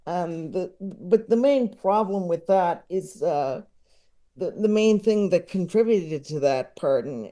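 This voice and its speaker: A man chatting casually as a podcast guest, talking in a halting, rambling way. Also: high-pitched, mellow. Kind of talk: casual interview chat.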